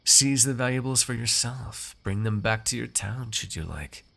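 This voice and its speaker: A man's voice: mid-range voice, moderate pace, gravelly, a bit bright. A man playing a haughty, teasing, possessive noble master in an ASMR roleplay, with formal, drawling, condescending theatrical diction. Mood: coolly mocking.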